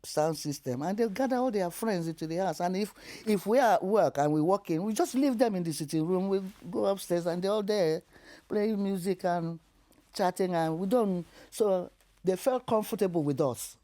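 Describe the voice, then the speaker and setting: An older woman with a Nigerian accent tells her life story in an interview, animated and laughing through hard memories. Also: fairly low pitch, moderate pace. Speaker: interview guest.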